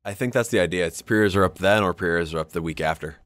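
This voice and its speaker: Low-voiced man, slangy and informal. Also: fast talker, fairly bright tone, dry recording, clean studio sound. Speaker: podcast co-host. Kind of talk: casual chat about tabletop wargaming.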